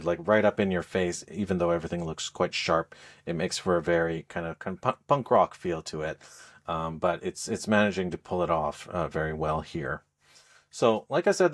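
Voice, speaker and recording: A man speaking as a podcast co-host, explaining things in a casual, halting, conversational review style. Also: low-pitched. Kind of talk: casual game review chat.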